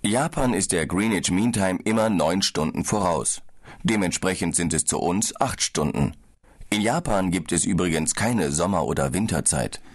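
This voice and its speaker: Low male, calm and explanatory, scripted audiobook dialogue. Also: moderate pace, husky. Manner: calm, explaining, slightly tired. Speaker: business traveller in a language-course dialogue.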